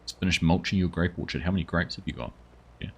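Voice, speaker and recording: A man streams a farming game from his desk, his voice low and a little husky. He chats easily with his viewers as he plays, reading out their names and thanking them. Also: quick pace. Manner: chatty, reads out and thanks viewers. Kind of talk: casual live-stream commentary.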